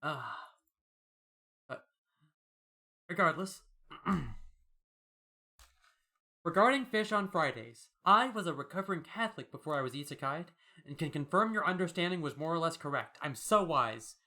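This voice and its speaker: A man's voice: on the high side, expressive, normal speed, long pauses, bright, forceful tone. A man hosting a podcast, chatting casually and reading out listener mail in a wry, jokey way. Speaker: podcast host.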